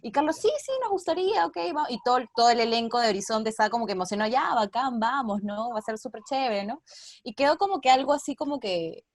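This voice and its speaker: A Peruvian woman with a fairly high voice talks as an interview guest. She is casual and chatty, slipping into quoted dialogue as she recounts things. The sound is close and dry, without room echo. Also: expressive, fast talker. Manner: informal, acts out quoted dialogue. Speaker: interview guest, radio drama team member.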